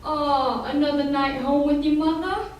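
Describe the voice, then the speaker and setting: A woman's voice: sits high, steady tone, measured pace, muffled recording. A young female performer playing a teasing male police sergeant in an amateur old-time radio show. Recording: very echoey hall, distant mic, faint noise.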